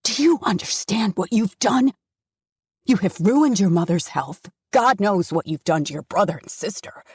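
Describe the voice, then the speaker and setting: A woman voicing a furious, cold middle-aged father who shouts and then speaks flat. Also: mid-range voice, normal speed, husky, no echo, studio quality.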